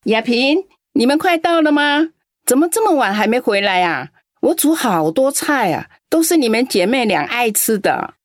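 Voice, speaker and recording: A woman's voice: on the high side, sing-song, normal speed, no echo, clean studio sound. A woman acting a lonely elderly grandmother in a radio drama, doting on the young but scolding her grown daughter in an aggrieved way. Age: older. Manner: fond and sighing, turns scolding and aggrieved.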